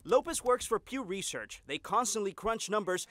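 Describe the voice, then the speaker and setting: A man's voice: high-pitched, animated, moderate pace, fairly bright tone. A man reporting for a local TV news channel, narrating a feature in an upbeat scripted way.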